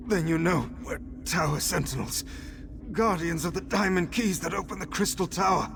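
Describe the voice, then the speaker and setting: An actor playing a dying, poisoned elf guardian in a video game, strained, solemn and halting. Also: male voice, high-pitched, background noise, lightly acted. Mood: fading, solemn. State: weak, fading.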